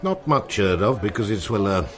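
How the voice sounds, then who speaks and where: A deep-voiced seasoned seaman storyteller. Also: lively intonation, normal speed, fairly bright tone. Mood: confiding. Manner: musing, colloquial storytelling with seafaring turns of phrase. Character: seasoned old seaman, wistful, salty storyteller. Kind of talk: radio drama acting.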